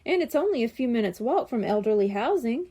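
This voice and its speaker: A woman's voice: a little high, sing-song, a little fast, warm tone, breathy edge. A woman voicing a genial, folksy elderly man who owns a rabbit in a children's storybook. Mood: thoughtful.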